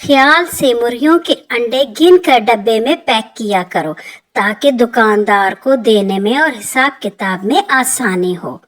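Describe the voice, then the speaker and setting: A woman's voice: on the high side, unhurried, voice pushed up. A woman voicing a dutiful eldest sheep sister in a cartoon story, earnest and reproachful. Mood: instructing.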